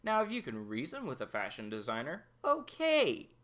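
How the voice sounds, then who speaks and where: A man hosting a review show, giving opinionated episode commentary in a deliberate way. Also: fairly high pitch, huge pitch swings, a bit bright. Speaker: host of an animated series review show.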